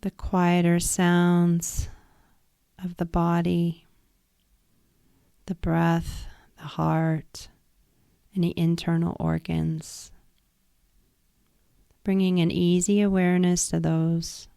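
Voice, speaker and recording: Slow, soft-spoken woman guiding meditation. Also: lowish voice, flat delivery, vocal fry, clean tone, no room sound, clean studio sound. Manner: slow, soothing, instructive. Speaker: yoga nidra guide. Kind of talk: guided meditation.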